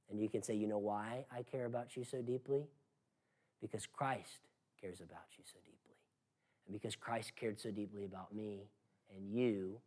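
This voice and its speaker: A man preaching an expository sermon in an earnest, exhorting manner, emphatic and given to rhetorical questions. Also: on the low side. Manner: emphatic, swings from hushed to loud.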